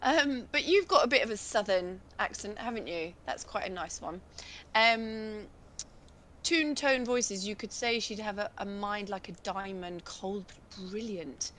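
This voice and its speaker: A woman with a husky voice, co-hosting a casual Q&A chat, rambling and laughing often. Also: mid-range voice, a little slow, fairly bright tone, a little hiss or hum. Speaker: voice actress and co-host.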